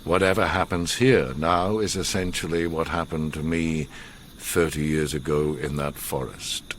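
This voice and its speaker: An actor playing an older, learned gentleman who recounts his past gravely, with measured, theatrical pauses, in a radio drama. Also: a bass voice, fairly even intonation, a little hiss or hum. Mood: solemn.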